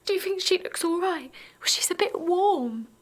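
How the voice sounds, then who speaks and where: A woman's voice: very high voice, animated, normal speed, no echo. A woman playing an anxious, naive young mother in an intimate audio drama. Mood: fearful, guilty. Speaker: actor and narrator in an audio drama. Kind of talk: intimate first-person audio drama acting.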